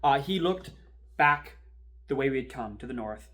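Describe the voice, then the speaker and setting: A man playing a wry, rattled archival assistant in a horror drama, rambling and sardonic as he records a halting confessional monologue. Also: medium pitch, lively intonation, fairly bright tone. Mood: reflective storytelling. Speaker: performer in a fan audio-video drama. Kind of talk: found-footage confessional monologue, conversational storytelling.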